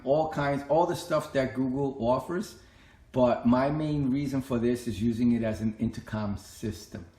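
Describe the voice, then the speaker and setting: A middle-aged man talks through his setup in a plain, conversational manner. His pitch is average and he circles back over his points as he explains. The recording has a clear echo of an indoor room. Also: mellow. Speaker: home tech vlogger. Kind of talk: casual explanatory home walkthrough.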